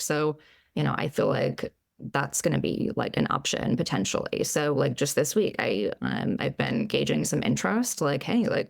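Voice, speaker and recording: A woman's voice: low voice, vocal fry, dry recording, clean studio sound. A woman psychologist in her thirties, a podcast guest, talking in a chatty, casual way full of "like" and "you know". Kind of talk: casual interview conversation.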